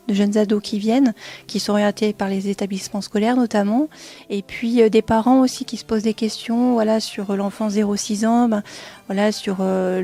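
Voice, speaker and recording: A woman's voice: mid-range voice, mellow. A woman explaining services as a guest in an informal radio interview, recorded in a small kitchen. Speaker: director of a family support centre, interview guest. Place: small kitchen of a community family centre.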